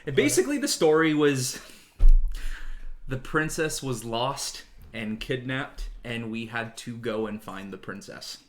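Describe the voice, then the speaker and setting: A man's voice: mid-range voice, lively intonation, measured pace. A man hosting a casual chat, telling stories in a jokey way, often laughing and swearing freely. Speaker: podcast host. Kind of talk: casual chat, storytelling among friends.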